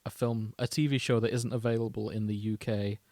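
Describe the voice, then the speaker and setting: A man's voice: fairly low pitch, flat delivery, a little fast, warm tone, dry recording. A man co-hosting a podcast, chatting casually and telling stories in a wry, mock-serious way. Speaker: podcast host. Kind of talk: casual comic chat.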